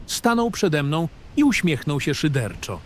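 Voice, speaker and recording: A man's voice: fairly high pitch, big pitch swings, a little slow, slight background noise. A man narrating a scripted fantasy audio drama in the first person, with a dramatic storytelling delivery. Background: background music.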